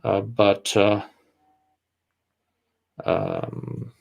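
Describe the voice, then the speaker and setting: A man's voice: on the low side, wildly swooping pitch, very slow, gravelly, slightly rough. A man from Russia, hosting a live-stream chat in a rambling way, full of fillers and restarts. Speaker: host.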